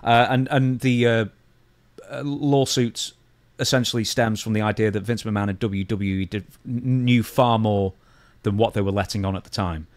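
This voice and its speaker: A man's voice: fairly low pitch, steady tone, normal speed, no echo. A British man co-hosting a podcast, chatting casually and bluntly through news stories in slangy language. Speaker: podcast co-host.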